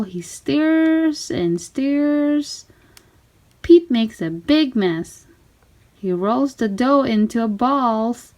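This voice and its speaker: A woman reading a children's storybook aloud in simple, deliberate phrases. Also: fairly high pitch, a little mellow.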